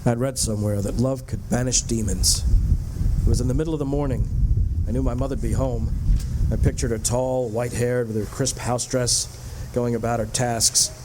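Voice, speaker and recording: A man's voice: medium pitch, fairly even intonation, moderate pace, noisy background, lightly acted. A man playing a frightened, distraught young traveler in a radio drama, urgent and prone to stammering. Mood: wistful, brooding. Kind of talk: radio drama acting with first-person narration.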